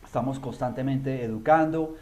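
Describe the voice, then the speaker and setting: A man co-hosts a webinar over a video call. He talks at a middling pitch, in a halting way, leaning on "eh" between phrases. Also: a little mellow. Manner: halting, many "eh" fillers. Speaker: webinar co-host. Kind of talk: webinar presentation.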